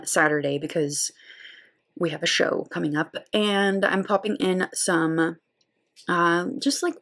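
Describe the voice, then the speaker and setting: A woman in her thirties hosting a planner channel, chatting casually and upbeat while setting up a weekly spread. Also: fairly low pitch. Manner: chatty, upbeat, laughs often. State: voice lower than usual today. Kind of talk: casual plan-with-me voice-over.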